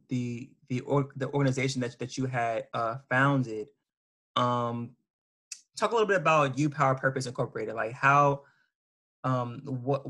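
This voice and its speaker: A man's voice: mid-range voice, a bit bright. A man hosting an interview, reading out his guest's introduction with frequent ums and restarts. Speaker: host.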